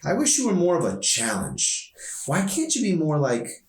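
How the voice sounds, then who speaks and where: A man reads as a spirited teenage girl in a storybook, teasing and sarcastic. The voice sits higher than his usual narration, in an echoey room at some distance from the mic. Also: wildly swooping pitch, normal speed.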